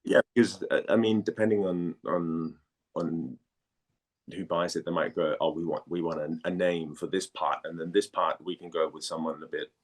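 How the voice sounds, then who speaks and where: Deep-voiced man in casual conversation. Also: steady tone. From Denmark. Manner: halting, repeats words as he thinks. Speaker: guest, actor and former model. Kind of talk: casual interview chat.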